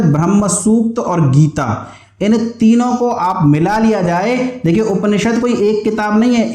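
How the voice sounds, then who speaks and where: High-pitched man teaching, echoey room. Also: a little mellow. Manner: explanatory, asks questions and answers them himself, repeats key terms. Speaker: teacher of exam preparation classes. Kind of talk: online history lesson with question and answer drilling.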